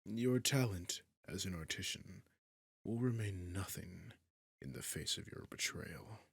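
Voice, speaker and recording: A man playing an imperious, possessive dragon king in a fantasy audio roleplay, speaking formally and deliberately in a husky growl that sinks into whispers. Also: sits low, no echo, clean studio sound, exaggerated voice. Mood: stern, commanding. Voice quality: husky, gravelly, breathy growl that drops into whispers. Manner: slow, formal, commanding, deliberate pauses. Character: imperious, possessive dragon king, menacing yet courtly suitor. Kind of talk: scripted fantasy audio roleplay addressed to the listener.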